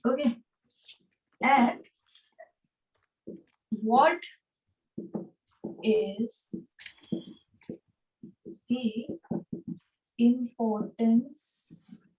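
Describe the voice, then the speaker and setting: A woman in her thirties with an Indian accent, teaching an informal online class in a halting way, checking in as she goes. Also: mid-range voice, breathy edge, highs cut off. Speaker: anatomy teacher at a yoga school. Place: small room with a whiteboard, indoors. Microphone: device camera a short distance away, video call.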